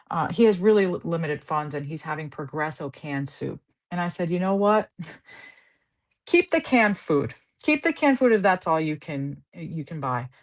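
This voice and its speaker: An earnest woman clinician explains how she counsels patients, her voice sitting a little low. She speaks in a conversational interview tone and slips into short acted-out exchanges with patients. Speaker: guest clinician. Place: Southern California.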